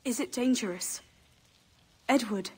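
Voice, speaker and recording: An actress playing a worried, loving young wife in a video game, speaking in a soft, pleading manner. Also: female voice, a little high, a little slow, noisy background, natural voice. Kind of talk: naturalistic video game dialogue.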